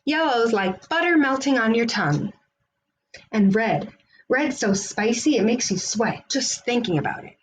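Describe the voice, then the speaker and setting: Woman acting friendly storybook man, folksy, easygoing, lively swooping pitch, faint background noise. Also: measured pace, rough recording. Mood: dreamy, savoring. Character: friendly, playful blind man who loves colors.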